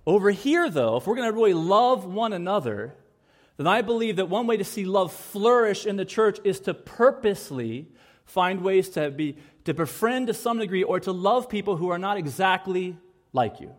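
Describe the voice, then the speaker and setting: A man's voice: high voice, animated, normal speed. A man from the United States preaching a sermon in church, earnest and repeating key phrases for emphasis. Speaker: pastor.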